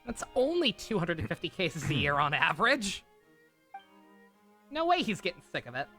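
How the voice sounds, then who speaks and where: A woman's voice: fairly low pitch, very animated, normal speed, fairly bright tone, faint music behind. A woman livestreaming a game with casual, joking, slangy commentary addressed to her viewers.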